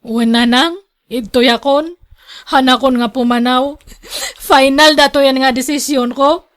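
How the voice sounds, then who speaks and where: An actress with an Ilocano accent playing a long-suffering, scolding young wife in a radio drama. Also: female voice, sits high, steady tone, a little slow. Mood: tearful, resolute.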